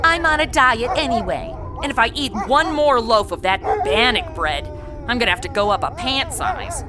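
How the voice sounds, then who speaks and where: Woman playing a high-pitched, sassy, scatterbrained lady Mountie. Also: expressive, normal speed, music in the background, lightly acted. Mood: flippant. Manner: flippant, exclamatory, modern slangy delivery. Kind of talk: comedy audio drama acting.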